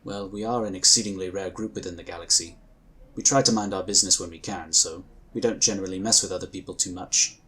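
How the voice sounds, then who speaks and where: An actor playing a calm, courteous male psionic inquisitor, speaking formally and gently in a husky voice. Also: low voice, fast talker, slight background noise. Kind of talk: audio drama acting.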